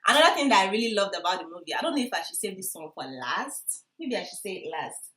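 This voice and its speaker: A young woman with a Nigerian Igbo accent, chatting casually and expressively to viewers as she reviews a movie, with excitable, emphatic delivery. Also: mid-range voice, fast. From Enugu, southeast Nigeria. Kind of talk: casual vlog chat to viewers. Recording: roomy indoor echo.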